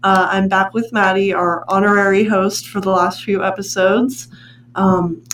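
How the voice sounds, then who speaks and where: A woman's voice: medium pitch, recorded from a distance. Teen girl podcast host, chatty and giggly; roomy sound, mic set back, faint hum. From Pennsylvania, USA. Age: late teens. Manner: chatty, laughs between questions. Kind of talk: casual podcast interview. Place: library meeting room.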